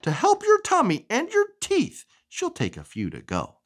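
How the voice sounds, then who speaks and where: A woman reading a storybook aloud to a young child in an expressive, sing-song way. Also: sits high, normal speed. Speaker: storybook reader.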